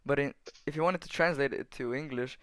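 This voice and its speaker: A teenage boy from Slovenia, chatting casually on a podcast with halting restarts. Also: mid-range voice, a bit bright, no room sound. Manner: halting, restarts often, laughs easily. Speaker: podcast co-host. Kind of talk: casual chat.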